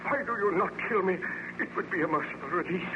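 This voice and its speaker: An actor playing a tormented, vengeful scientist with earnest, formal melodrama in an old-time radio horror serial. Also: male voice, sits high, fast, highs cut off, natural voice. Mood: defiant, hateful. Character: anguished, vengeful male scientist, tormented by his creation. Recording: noisy, band-limited old radio recording.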